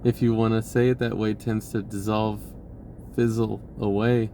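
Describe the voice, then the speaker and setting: A man giving an unhurried, reflective spiritual talk with many pauses, his voice lifting when he acts out an inner dialogue. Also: fairly low pitch, little pitch movement, mellow, a little hiss or hum. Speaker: spiritual video host.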